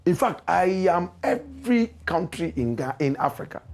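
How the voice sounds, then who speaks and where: A Ghanaian man hosting a live show, reading out viewer comments and answering them in an animated, preachy way, jumping between loud exclamations and low asides. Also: moderate pace, gravelly. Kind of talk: casual live-stream commentary.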